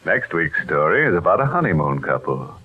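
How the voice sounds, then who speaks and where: A man's voice: low-pitched, very animated, a little fast, music in the background. A man hosting a 1940s radio mystery show in a mock-genial, sardonic, macabre manner, on a tinny old recording.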